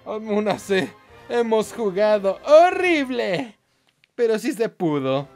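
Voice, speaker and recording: High-voiced animated young male gamer. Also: slow pace. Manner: excitable, foul-mouthed. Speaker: gameplay video host. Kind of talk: casual game commentary. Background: game music.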